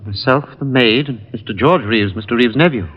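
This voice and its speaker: An actor playing a proper, deferential longtime butler in an old radio drama, formal and polite, with a husky voice. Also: male voice, mid-range voice, brisk, lightly acted. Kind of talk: 1950s radio detective drama acting. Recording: muffled old radio recording.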